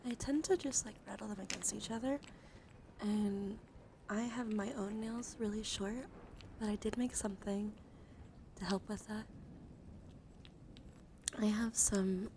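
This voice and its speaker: A woman doing live ASMR in a soothing, intimate, encouraging way, drifting between breathy whispers and soft speech. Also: mid-range voice. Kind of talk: ASMR, whispered and softly spoken reassurance. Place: small warm room with computers running. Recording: noisy room ambience behind voice.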